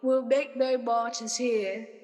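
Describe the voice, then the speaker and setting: A woman's voice: a little high, steady tone, slow pace, a little mellow, in a large hall. A woman acting a blunt, wheedling working-class prison guard in a comic radio play, brash and colloquial. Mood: bossy.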